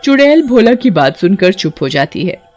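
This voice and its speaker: A woman's voice: medium pitch, very animated, normal speed, darkish tone. A woman narrates a scripted story for children. She works as the storyteller between scenes, with faint music playing underneath.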